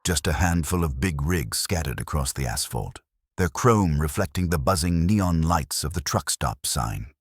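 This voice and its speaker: A man's voice: rumbling low, animated, moderate pace, husky, no room sound, studio quality. A man giving a scripted first-person horror narration in a grave, suspenseful storytelling manner. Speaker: narrator of horror stories, posing as a trucker.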